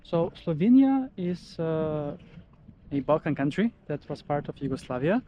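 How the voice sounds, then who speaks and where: A man's voice: on the high side, big pitch swings, a little slow, lo-fi sound, slight background noise. Upbeat man. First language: Macedonian. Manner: enthusiastic, touristy commentary. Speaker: travel vlogger. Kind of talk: casual on-location vlog narration. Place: outdoors on a seaside beach promenade.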